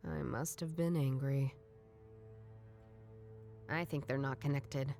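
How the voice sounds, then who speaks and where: A woman playing a jaded, sardonic detective in a noir video game, musing in a dry, weary deadpan. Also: rumbling low, takes it slowly, faint music behind, full character voice. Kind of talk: video game voice acting, inner monologue.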